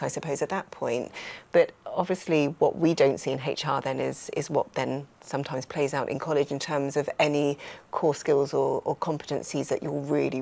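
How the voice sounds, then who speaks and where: A woman's voice: low-pitched, gravelly, a little mellow. A woman hosting a conversational podcast interview, putting questions in a wordy, roundabout way. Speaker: host and recruiter.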